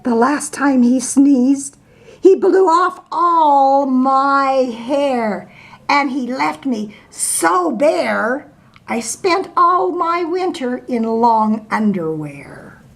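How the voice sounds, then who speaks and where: A woman's voice: high-pitched, animated, drawn-out words, vocal fry, voice pushed up, full character voice. A woman voicing a bear who complains about past troubles. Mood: rueful. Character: grumbly, complaining old bear.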